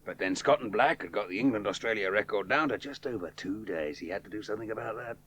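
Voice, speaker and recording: A man's voice: on the low side, quick pace, a bit bright, lightly acted. An actor playing a wry, laconic male aviator with a drinking habit in a radio play. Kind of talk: 1970s radio drama acting.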